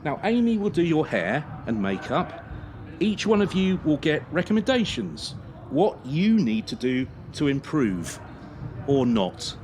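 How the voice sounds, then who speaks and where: An actor playing a cold, patronising gymnastics coach in a radio drama, officious and insinuating. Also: male voice, a little high, sing-song, measured pace, a little mellow, slight background noise. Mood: brisk, commanding.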